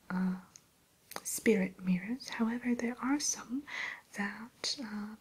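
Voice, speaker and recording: A woman's voice: medium pitch, poor audio. A woman playing a refined, sly evil queen shopkeeper in a whispered ASMR roleplay, with coy, hesitant, genteel sales talk. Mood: inviting, confiding. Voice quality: breathy, soft whisper. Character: refined, sly evil queen running an enchanted mirror shop.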